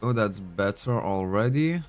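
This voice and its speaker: A man's voice: on the low side, expressive, a little slow, faint music behind. A man whose first language is Lithuanian, chatting casually at home into a desk microphone while playing a game, thinking aloud with many fillers. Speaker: game streamer. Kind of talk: casual livestream commentary.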